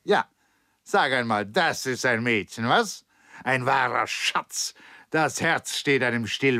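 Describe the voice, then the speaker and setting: A man's voice: medium pitch, bright, forceful tone, no echo, pitched above the performer's own voice. An overbearing stage magician with a wheedling, theatrical way of talking, as a male audiobook reader puts him on. He pushes his voice upward as he coaxes and insists. The sound is dry and close.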